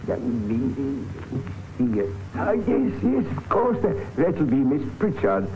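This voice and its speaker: A man's voice: medium pitch, huge pitch swings, slow, music in the background. A man playing a shifty, nervous old tailor in a radio drama, stammering and hesitant. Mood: nervous, evasive. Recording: tinny, noisy old radio recording.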